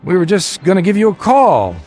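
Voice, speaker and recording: A man's voice: sits high, sing-song, brisk, darkish tone. An American actor playing a middle-aged, secretive family father in a radio drama, commanding, guarded and urgent. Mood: forced cheer. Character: tense secretive family father plotting an escape.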